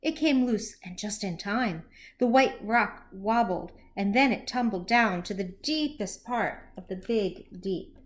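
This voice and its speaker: A woman reading a children's story aloud as a teacher would, expressively and with deliberate phrasing for young listeners. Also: mid-range voice. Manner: animated, slow, deliberate, with questions to young listeners.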